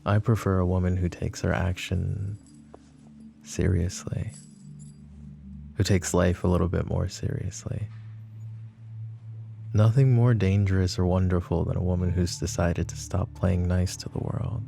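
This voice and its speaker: Guy doing a cocky mafia boss, deep and slow, teasing the detective, faint music behind. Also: little pitch movement, creaky, mellow, exaggerated voice. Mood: smug teasing. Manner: teasing, taunting, calls her pet names. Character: smug flirtatious male mafia boss under questioning. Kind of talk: roleplay ASMR.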